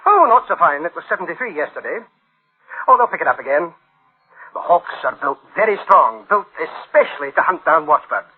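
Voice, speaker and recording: An actor playing an eager, chatty male engineer proud of his machines in a radio drama, animated and informal. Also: a little high, a little fast, slightly rough. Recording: tinny, band-limited radio drama recording.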